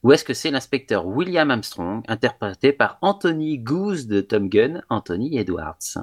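Measured man reading quiz questions. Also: mid-range voice, sing-song. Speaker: quiz host. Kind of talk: podcast quiz chat.

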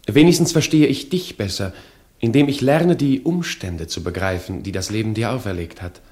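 An actor playing an earnest, wounded grown son in a radio drama, halting and reflective as he speaks. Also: fairly low pitch, a little mellow, close to an everyday voice.

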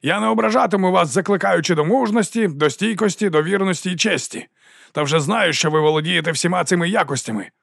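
A flamboyant old rogue of a mercenary captain, put on by a male audiobook reader, addresses his soldiers with theatrical pomp and sly self-mockery. The voice rides well above an everyday register, with a gravelly edge and a very dry, close sound. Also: high voice, fast, a bit bright, no echo, voice pushed up, full character voice. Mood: rousing, sly. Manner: theatrical, self-mocking, grandiose orator. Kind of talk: audiobook character acting.

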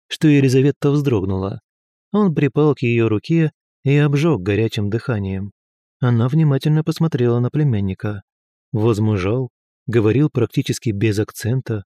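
A man reading historical fiction aloud in an even, measured narration. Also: medium pitch, no echo, clean studio sound. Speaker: audiobook narrator.

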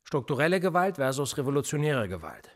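An actor playing a sardonic, quietly menacing police interrogator in a radio play, speaking in a sarcastic, probing way. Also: male voice, a little high, big pitch swings, quick pace. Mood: provocative. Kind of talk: German radio play acting.

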